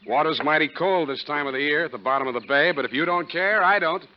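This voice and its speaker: An actor playing a cool, wisecracking private detective in deadpan 1940s radio style. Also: male voice, a little high, fast talker, close to an everyday voice. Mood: dry, wry. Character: cool, wry hard-boiled male private detective. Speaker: lead actor. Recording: muffled, noisy 1940s radio recording.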